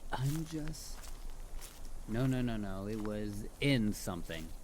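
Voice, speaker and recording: A man's voice: on the low side, expressive, slow, a little mellow, slight background noise. A man voicing a chatty, mischievous talking head of a dullahan in a casual, rambling audio roleplay spoken straight to the listener. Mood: cajoling, easygoing. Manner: casual, rambling, hesitant with stammered repeats. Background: ambient forest sound effects.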